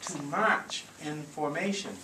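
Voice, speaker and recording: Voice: medium pitch, slow. A storyteller reading aloud to a live group in a theatrical, encouraging way, with coaching asides. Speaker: storyteller leading a live audio theatre show. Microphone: handheld camcorder at a distance. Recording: echoey room, distant camcorder mic, noisy.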